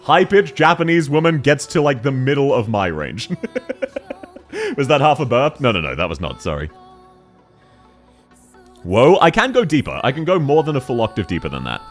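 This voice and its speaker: A young man with a deep voice streaming a game and chatting with viewers, excitable and slangy, laughing often and quick to correct himself. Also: faint music behind. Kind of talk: casual live-stream chat, answering viewer questions.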